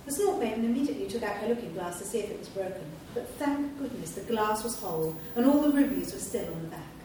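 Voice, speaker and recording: Woman reading a kids' storybook aloud, steady, far from the mic in an echoey hall, faint rumble. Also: fast talker. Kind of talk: storybook reading at a live event. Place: large hall at a live event.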